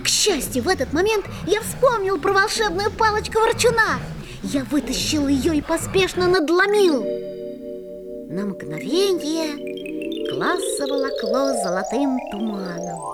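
A mischievous schoolboy narrator. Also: female voice, high voice, sing-song, measured pace. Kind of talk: radio play narration. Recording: music playing behind.

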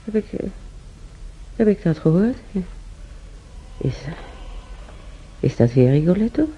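Slow, sighing old woman patient. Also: on the low side, very animated. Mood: drowsy, confused. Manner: sighing, moaning, halting, muttering to herself. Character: ailing old woman patient, wistful, haunted by memories. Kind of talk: radio drama acting. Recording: muffled, noisy old radio recording.

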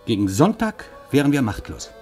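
A gravelly, jittery professor. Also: male voice, mid-range voice, sing-song, moderate pace, darkish tone, soft background music. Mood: wry. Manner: dry and cautious, flustered and stammering under pressure. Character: nervous, wry older male professor conspirator. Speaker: professor and undercover policeman. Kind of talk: German radio play acting.

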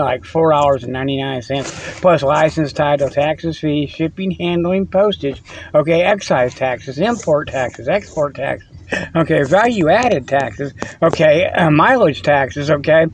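Man, somewhat high-pitched, folksy rambling comic host talking in his truck. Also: a little hiss or hum, poor audio. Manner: folksy, rambling, peppers talk with "okay" and "you know".

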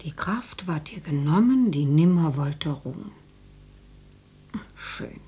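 An older woman's voice, husky and mellow, for a radio play. She is a homely grandmother who takes everything drily and matter-of-factly. Nothing seems to fluster her. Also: low voice, expressive, takes it slowly, full character voice. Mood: reading, musing. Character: elderly housewife and grandmother, matter-of-fact, unflappable. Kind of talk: radio drama acting.

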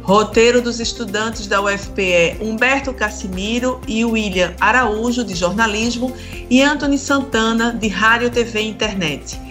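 A woman's voice: fairly high pitch, normal speed, fairly bright tone, faint music behind. A woman hosting a radio programme, giving thanks and credits in a semi-scripted style. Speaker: radio host.

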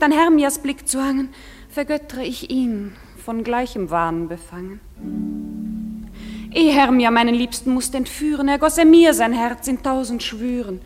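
An actress playing a lovelorn, envious young woman who declaims plaintive verse in a classical radio drama. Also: high voice. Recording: vintage radio play, long reverb, music behind.

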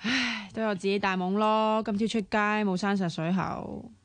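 A woman acting in a radio drama as a playful, bossy young woman who is tight with money, teasing and sharp-tongued in Hong Kong Cantonese. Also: medium pitch, steady tone, moderate pace, lightly acted. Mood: wheedling.

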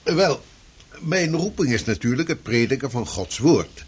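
An actor playing a shrewd 16th-century preacher who speaks in a lively, formal, old-fashioned way. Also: male voice, mid-range voice, measured pace, husky. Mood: earnest. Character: shrewd 16th-century Dutch preacher, fierce against Spain. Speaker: preacher and cartographer. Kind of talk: radio drama acting.

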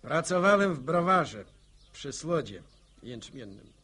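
A man's voice: on the high side, slow, husky, heavily acted. An actor playing a seasoned, plain-spoken middle-aged village man in a radio drama, telling stories colloquially. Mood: reflective recounting. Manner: colloquial, animated storytelling.

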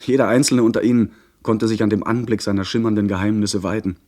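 A man playing an earnest young gentleman who narrates his pirate adventure in measured, literary German radio-drama style. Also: on the low side, fairly even intonation, lightly acted. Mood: watchful, recounting.

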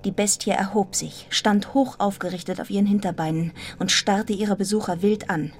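Radio drama lead for an actress: wounded, resolute young governess, medium register, intense yet restrained narration, musical backing. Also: female voice, mid-range voice, fairly even intonation, normal speed, soft background music, lightly acted. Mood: tense, restrained. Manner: restrained, intense, breaking into hushed fragments. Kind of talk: German radio play narration and acting.

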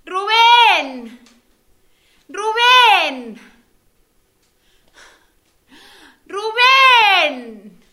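Girl playing panicked woman, super high shrill voice, begging, stammering, radio play. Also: sing-song, drawn-out words, pushed and bright, full character voice. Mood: calling out. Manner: pleading, stammering. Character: panicky trapped woman, breathless and pleading. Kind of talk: amateur radio drama exercise.

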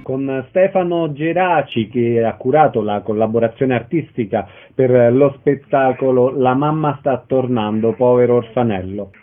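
A man's voice: medium pitch, unhurried, few pauses, narrow, tinny audio. A man presenting and interviewing as a radio host. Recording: band-limited radio sound.

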